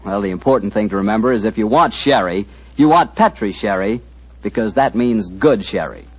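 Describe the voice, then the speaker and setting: A man's voice: lowish voice, lively intonation, brisk, heavy background noise. A male radio announcer reading a scripted commercial in a folksy, chatty way. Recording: muffled old radio broadcast.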